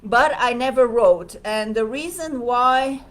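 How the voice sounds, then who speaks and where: Slow, hesitant woman. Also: on the high side. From Serbia. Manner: hesitant, many fillers, reads viewer comments. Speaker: livestream host. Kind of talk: casual livestream talk.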